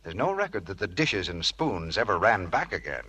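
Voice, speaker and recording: Old time radio ad guy, low husky voice, bright punchy sales pitch. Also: very animated, normal speed. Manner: emphatic, salesmanlike. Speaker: radio announcer. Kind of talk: 1940s radio commercial pitch. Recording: old radio broadcast recording.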